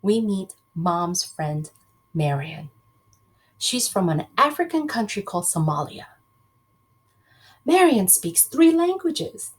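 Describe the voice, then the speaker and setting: A woman reads a children's book aloud as a teacher would to her class. Her pitch rises and falls in an animated way at an unhurried pace, with a husky edge. She often steps out of the text to put questions to the pupils. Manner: expressive, addresses children directly with questions.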